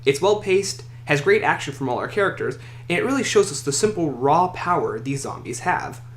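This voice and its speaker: Echoey man's voice with lively, swinging pitch. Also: fairly quick. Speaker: list video host. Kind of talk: scripted countdown commentary. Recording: echoey room, faint noise.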